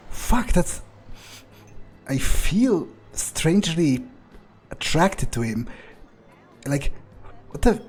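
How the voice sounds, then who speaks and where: A man improvising in character as a newly turned vampire in a game, bewildered, irritable and foul-mouthed, muttering in halting, incredulous repetitions with a husky voice. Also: medium pitch, a little mellow, a little hiss or hum.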